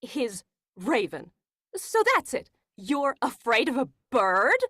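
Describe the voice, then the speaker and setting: A woman acts out a scheming wife in an old radio thriller. She is scornful and bossy, with a fairly high, very expressive voice in a dry recording. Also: slow, breathy edge, no room sound, full character voice. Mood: impatient, scornful. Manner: scornful, commanding. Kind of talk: 1940s radio melodrama acting.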